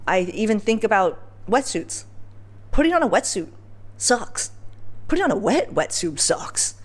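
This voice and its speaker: A woman's voice: mid-range voice, sing-song, a little slow. A woman hosting a podcast, telling personal stories in a casual, candid way with informal slang and emphatic phrasing. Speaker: podcast host.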